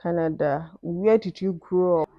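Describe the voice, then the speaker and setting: A woman's voice: fairly low pitch, a little slow, gravelly, dry recording, highs cut off. A young Nigerian woman with a Nigerian accent, casually reading out questions and answering them in a vlog, often adding "I mean". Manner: thoughtful, conversational, with "I mean" asides. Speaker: vlogger.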